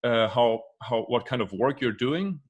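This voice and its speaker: A man's voice: mid-range voice, expressive, a little slow, husky, muffled recording. A man hosting a video podcast interview, speaking hesitantly with many fillers and repeated words. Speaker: podcast host.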